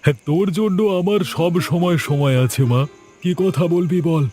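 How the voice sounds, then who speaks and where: A man's voice: a little high, moderate pace, dark tone, soft background music, close to an everyday voice. A man voicing a doting middle-aged father, a village police officer, in a cartoon. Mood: affectionate.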